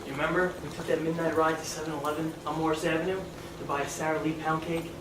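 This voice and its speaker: A man's voice: on the high side, little pitch movement, quick pace, close to an everyday voice. A young male actor playing a brash, needling teenage friend in a live stage play, challenging and insistent. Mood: earnest, insistent. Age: young adult. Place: small theatre stage, indoors. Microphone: far camera. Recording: noisy, echoey theatre stage from far off.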